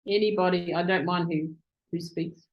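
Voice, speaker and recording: A woman interviewing virtual assistants on a video call, excitable and quick to speed up when she gets going. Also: on the low side, dull audio.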